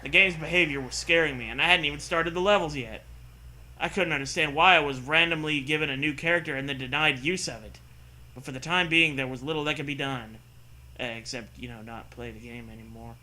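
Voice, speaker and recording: A man's voice: a little high, fairly quick, sharp tone, low-quality recording. A man co-hosting a show, reading a story aloud in mock-serious style with sarcastic, profane asides.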